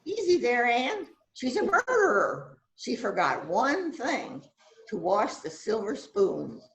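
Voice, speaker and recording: A woman's voice: fairly high pitch, very animated, full character voice, rough recording. A woman playing a self-assured, dramatic detective who bosses an assistant around in an amateur mystery play. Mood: triumphant, explaining. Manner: deliberate, dramatic, musing. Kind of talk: amateur readers theater, radio mystery acting.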